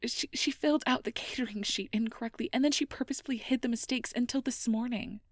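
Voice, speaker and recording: A woman playing a sweet, anxious young mage girlfriend in an ASMR role-play, speaking in a breathy, often whispered voice with earnest, hesitant, careful phrasing. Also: fairly high pitch, quick pace, no room sound, clean studio sound, lightly acted. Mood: exasperated, flustered. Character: sweet, anxious, conscientious young air mage girlfriend confiding her worries. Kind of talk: ASMR role-play, intimate personal address.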